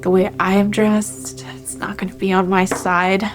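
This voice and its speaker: Woman player over clearly audible music. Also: mid-range voice, little pitch movement, measured pace. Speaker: player in a tabletop game. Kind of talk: casual game chat.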